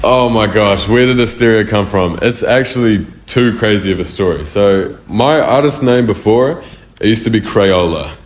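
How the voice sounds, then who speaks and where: Young New male artist, very deep, swears freely, echoey room. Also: moderate pace, soft background music. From New Zealand. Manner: loose, slangy and profane. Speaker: music artist. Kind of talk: casual answers to interview questions. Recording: roomy echo.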